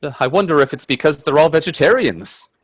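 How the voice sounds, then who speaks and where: An actor playing a timid, wonder-struck gentleman companion in a radio play, with genteel, hesitant delivery. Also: fairly high pitch, expressive, brisk, slight background noise. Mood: mild, agreeable. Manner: hesitant, stammering in dialogue, genteel phrasing. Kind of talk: radio drama acting and first-person narration. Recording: husky dry close audio from video-call performance.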